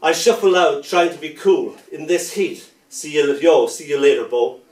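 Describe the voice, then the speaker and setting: A man reading his poetry aloud, with a rhythmic, playful delivery that softens into gentle lullaby lines. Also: on the high side, normal speed. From Ireland. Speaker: poet. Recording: roomy sound from a distant mic.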